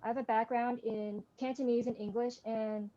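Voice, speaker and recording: A soft-spoken young woman introducing herself as a student on a video call. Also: a little high, little pitch movement, moderate pace, highs cut off. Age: young adult. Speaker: student panelist.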